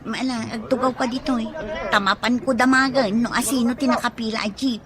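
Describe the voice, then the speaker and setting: A woman's voice: on the high side, steady tone, fairly quick, crowd noise, lightly acted. An actress with an Ilocano Filipino accent playing a forthright young provincial woman in a radio drama. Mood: defensive. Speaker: actor. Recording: noisy track with ambient sound.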